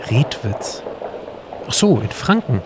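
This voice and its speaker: Man, evenly pitched middle voice, conversational audio drama dialogue, very noisy ambience with vehicles. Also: big pitch swings, slow. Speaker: co-presenter playing himself as a time traveller.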